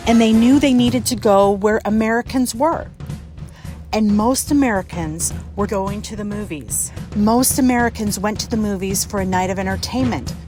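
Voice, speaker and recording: A woman's voice: medium pitch, normal speed. Woman narrating over music. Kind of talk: scripted educational narration. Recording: music behind the voice.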